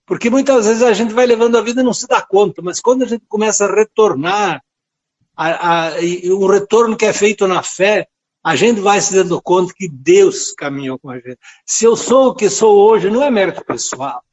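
A man in his late seventies with a southern Brazilian accent from Rio Grande do Sul, a priest telling his story in a halting, earnest, devout way. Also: high voice, dull audio. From rural southern Brazil. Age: late 70s. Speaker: priest and friar, interview guest. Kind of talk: reflective personal storytelling in a radio interview.

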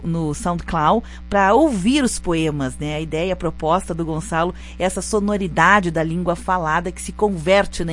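A woman's voice: lowish voice, slight background noise. A woman hosting a live radio interview, conversational, often tagging her sentences with a questioning "né". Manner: warm conversational with frequent "né?" tags. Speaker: radio host.

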